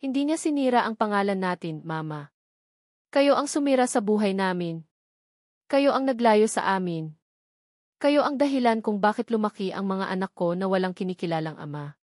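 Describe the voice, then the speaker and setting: A woman's voice: medium pitch, expressive, normal speed, darkish tone, no room sound, clean studio sound, natural voice. A woman voicing a determined adult son in an audio drama, emphatic and full of accusation toward his mother. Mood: accusing anger.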